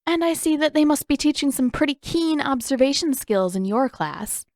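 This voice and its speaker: A woman's voice: high-pitched, lively intonation, normal speed, darkish tone, no echo, studio quality, pitched above the performer's own voice. A woman voicing a sarcastic, stubborn teenage girl in an audio story, emphatic and defensive. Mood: sarcastic, evasive.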